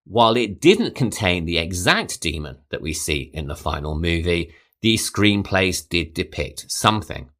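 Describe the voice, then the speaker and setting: A man hosting a podcast, reading a scripted film history in a measured, storytelling way. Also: low-pitched, fairly bright tone. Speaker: podcast host. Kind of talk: scripted narration.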